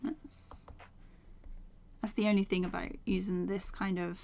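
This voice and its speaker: A young woman chatting casually while she animates on a live stream, her voice tired from a day of talking, often laughing and drifting into soft mumbles as she works. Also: medium pitch, little pitch movement, measured pace, vocal fry. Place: small home room, indoors. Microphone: desk microphone with foam cover.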